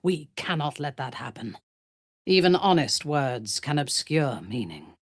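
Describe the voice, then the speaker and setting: A ruthless female dark-elf warrior for a fantasy video game. Her voice is low and breathy, swinging widely in pitch as she gives formal, imperious speeches in a dry, close recording. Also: sing-song, no room sound, full character voice. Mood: intense, persuasive. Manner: imperious, measured, menacing, formal diction. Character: ruthless, commanding female dark-elf warrior priestess, coldly devoted lover. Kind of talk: video game character dialogue.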